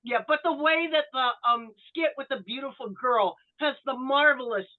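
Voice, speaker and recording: Female podcast panelist, thin phone-line sound, analytical, halting delivery. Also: high-pitched. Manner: analytical, halting with repeated words. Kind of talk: conversational discussion. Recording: thin, phone-like band-limited connection.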